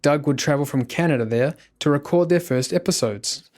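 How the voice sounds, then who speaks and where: A man's voice: on the high side, fairly quick, darkish tone. A man reading scripted documentary narration. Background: faint guitar music.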